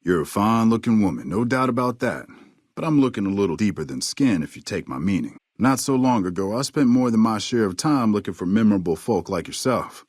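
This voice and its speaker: A husky, drawling veteran sniper. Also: male voice, on the low side, animated, a little mellow, no room sound, studio quality. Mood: steady, understated. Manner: folksy, unhurried, understated. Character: weathered veteran army sniper, courteous, laconic old ex-scout. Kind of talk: video game character dialogue.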